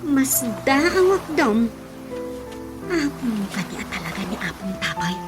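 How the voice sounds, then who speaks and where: Woman playing older female relative, high voice, admonishing, drawn-out, radio drama, music. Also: big pitch swings. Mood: chiding.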